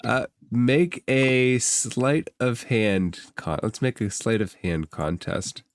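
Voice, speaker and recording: A man narrating a tabletop game as game master in a halting, informal, conversational way. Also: mid-range voice, animated, no room sound, studio quality.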